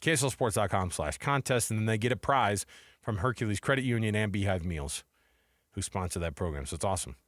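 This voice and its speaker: A man's voice: lowish voice, lively intonation, brisk, fairly bright tone, dry recording. Casual male sports radio host, dry studio sound. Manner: informal, conversational, addresses listeners and co-host as man and dude.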